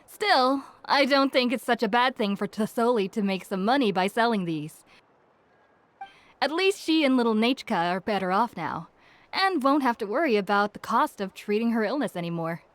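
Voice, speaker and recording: A woman voicing a chatty, warm-hearted village woman in a fantasy video game, explaining things in a slightly flustered way. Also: fairly high pitch, expressive, normal speed, close to an everyday voice. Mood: fond.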